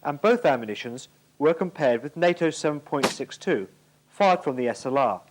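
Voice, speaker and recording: A man's voice: fairly high pitch, animated, moderate pace, background noise. A British man giving formal, precise scripted narration for an instructional military training film from the 1980s.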